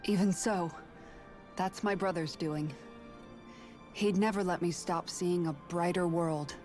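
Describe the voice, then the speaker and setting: Earnest low-voiced young guardswoman. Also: steady tone, takes it slowly, a little mellow. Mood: fond, earnest. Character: earnest young guardswoman, idealistic and devoted sister. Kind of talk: scripted video game dialogue. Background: game music.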